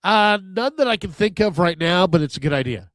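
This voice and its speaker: A man's voice: high voice, expressive, brisk, fairly bright tone, dry recording, clean studio sound. A man co-hosting a casual sports talk show. He sounds nasal and stuffed up from a cold, and he hesitates while searching for words.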